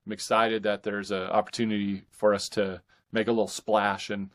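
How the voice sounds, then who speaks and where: A man with a fairly low voice speaks as an author guest on a podcast. He chats casually, in the relaxed give-and-take of an interview. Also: moderate pace.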